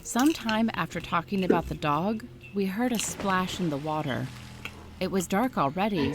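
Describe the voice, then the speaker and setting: Low-voiced woman narrating a story. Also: slight background noise. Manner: steady storytelling read. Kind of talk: scripted narration.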